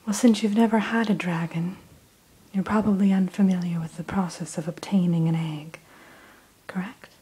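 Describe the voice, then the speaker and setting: A woman in an ASMR roleplay who whispers gently and courteously as the keeper of a dragon hatchery. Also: lowish voice, fairly even intonation, normal speed, breathy edge, rough recording.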